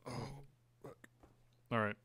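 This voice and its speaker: A man's voice: medium pitch, fairly even intonation, takes it slowly, pushed and bright, a little hiss or hum. A young man streaming a VR game, chatting casually into his headset mic, rambling with lots of "like" and "uh". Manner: rambling, fills talk with "like" and "uh". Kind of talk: casual live-stream chat.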